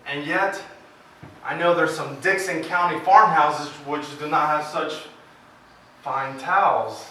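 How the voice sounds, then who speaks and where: An actor playing a brisk, condescending county attorney in a stage drama, with a probing, pompous manner. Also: male voice, on the high side, bright, forceful tone. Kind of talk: stage drama acting, questioning. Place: indoor theater stage, distant microphone. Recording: echoey stage from far off, faint noise.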